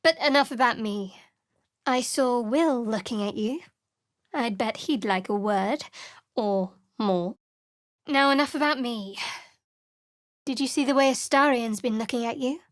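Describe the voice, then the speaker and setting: Actress doing a secretive young cleric lady for a fantasy game, dry and teasing, kinda high voice, super close dry sound. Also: measured pace, pauses often, no echo, natural voice. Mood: teasing, sly. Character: guarded, sardonic young woman, devout dark-goddess cleric in fantasy game. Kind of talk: video game companion voice lines.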